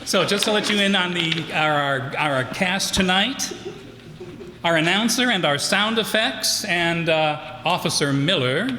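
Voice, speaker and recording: A man announcing cast credits live, speaking off the cuff and pausing between names. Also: high voice, animated. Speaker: announcer and troupe member reading cast credits. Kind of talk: live unscripted cast introductions. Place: hall before a live audience. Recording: echoey hall, loud audience noise.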